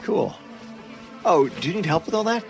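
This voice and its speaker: A teasing young party host. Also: male voice, sits high, very animated, moderate pace, vocal fry, faint music behind, heavily acted. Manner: teasing, playful, suggestive.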